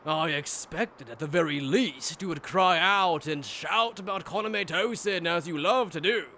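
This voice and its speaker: A man's voice: on the high side, unhurried, sharp tone, slight background noise. A man playing a smug, cruel aristocratic villain who talks in a mocking, theatrical, wordy way in an anime-style dramatic dub. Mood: mocking, taunting. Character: smug, cruel, treasonous nobleman swordsman villain. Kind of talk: dramatic fan-dub voice acting.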